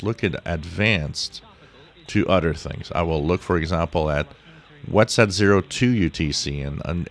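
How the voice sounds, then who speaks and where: A man giving a casual tutorial as a hobbyist, thinking aloud in an unhurried way. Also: a bass voice, vocal fry. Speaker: shortwave radio hobbyist presenting a tutorial. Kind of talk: casual explanatory screen-share tutorial.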